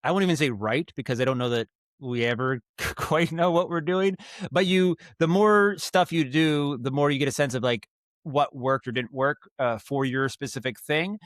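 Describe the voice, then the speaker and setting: A man speaking as a guest in a casual interview, thinking aloud haltingly and circling back on his thoughts. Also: medium pitch, fairly bright tone, dry recording, clean studio sound. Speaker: podcast guest, writer and director of audio dramas.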